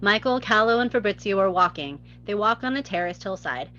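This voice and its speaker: A woman's voice: medium pitch, lively intonation, fairly quick, sharp tone, dull audio, a little hiss or hum. A woman reading scene headings and stage directions as scripted narration at a live table read.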